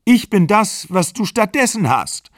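Eine trotzige junge Frau, von einem männlichen Sprecher gelesen, hoch, den Tränen nahe und laut. Trockene, nahe Aufnahme ohne Hall. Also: female voice, medium pitch, big pitch swings, unhurried, clean studio sound, voice pushed up. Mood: tearful insistence. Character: young woman leaving her older lover, stubborn.

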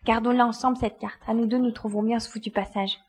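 A woman's voice: on the high side, little pitch movement, fast, darkish tone, husky. A woman playing a determined, commanding glider pilot in a French audio drama. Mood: conciliatory. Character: determined female glider pilot searching for her babies.

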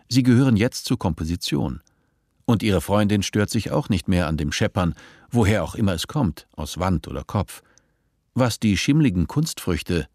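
A man narrating a dystopian radio play in a measured, detached, literary manner. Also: sits low, a little mellow, no room sound, studio quality. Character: male narrator of a dystopian story.

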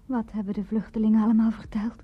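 An actress playing a dreamlike, quietly insistent girl questioner in a radio play. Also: fairly high pitch, little pitch movement, quick pace, husky. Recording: dull archive radio recording. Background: plucked guitar.

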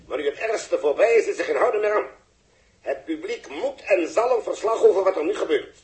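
A businesslike male control-room official in a 1960s science fiction radio drama. His voice is fairly high and comes with a strong echo. He is polite, persuasive and matter-of-fact. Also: lively intonation, a little fast, a little mellow. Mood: businesslike, insistent.

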